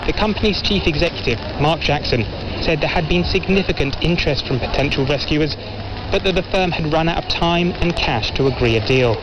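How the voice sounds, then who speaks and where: Man, fairly high voice, business reporter, scripted, muffled noisy shortwave. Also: brisk. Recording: dull, noisy shortwave radio relay.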